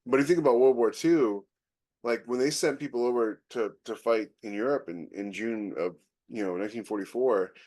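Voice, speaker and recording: A man's voice: medium pitch, fairly quick, darkish tone. A man co-hosting a podcast in loose, casual conversation. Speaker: podcast co-host.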